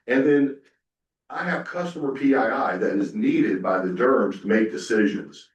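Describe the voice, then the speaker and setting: A man's voice: medium pitch, moderate pace, dull audio. Folksy man presenting in an echoey room. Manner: casual, folksy, many fillers. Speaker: conference panelist. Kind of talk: conference presentation. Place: conference room. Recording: echoey room, speaker far from mic.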